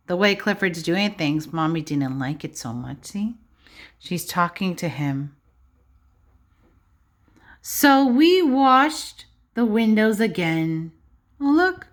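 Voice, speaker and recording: A woman reads a picture book to small children as their teacher would. Her delivery is slow and deliberate, with a lively, swooping range at a medium pitch. She keeps checking in with simple questions and explains things plainly. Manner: expressive, slow and instructive, often checking with rhetorical questions. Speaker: early-years classroom teacher reading a picture book aloud. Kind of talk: animated read-aloud for young children, with questions and asides.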